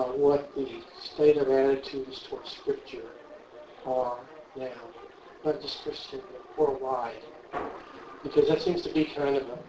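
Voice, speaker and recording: A man in the audience asking a question in a halting way, with restarts and fillers. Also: mid-range voice, mellow. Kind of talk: hesitant spoken question. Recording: echoey room with faint background noise.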